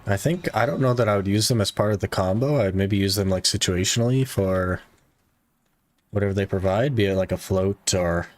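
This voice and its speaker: A man's voice: fairly low pitch, fairly quick. A man streaming a game live, chatting casually with his viewers and using many "like" fillers. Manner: relaxed, talks to chat with many "like" fillers. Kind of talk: casual live-stream chat while gaming.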